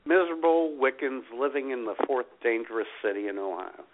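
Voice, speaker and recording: A man with a creaky, mid-pitched voice that swings up and down co-hosts a radio show. He banters casually and wryly, and the audio is thin like a phone line. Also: expressive, a little fast.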